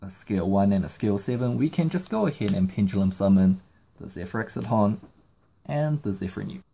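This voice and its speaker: A man's voice: fairly low pitch, vocal fry, mellow, a little hiss or hum, poor audio. A man narrating a step-by-step card game tutorial, explaining each move in sequence. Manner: explanatory, walking through moves in sequence. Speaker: trading card game YouTuber.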